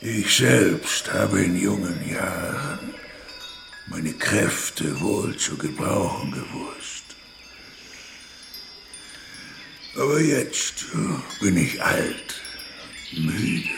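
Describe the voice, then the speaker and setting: An actor playing a weary, dignified old village patriarch in a radio drama, with a gravelly voice and halting, weighty delivery. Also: male voice, low voice, heavily acted. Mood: weary, hopeful. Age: older. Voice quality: gravelly, creaky. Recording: echoey, noisy, ambience with chimes.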